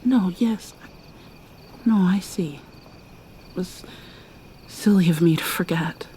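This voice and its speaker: Woman playing a shy soft mermaid girl, slow whispery talk, low warm voice. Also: a little hiss or hum. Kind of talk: ASMR roleplay.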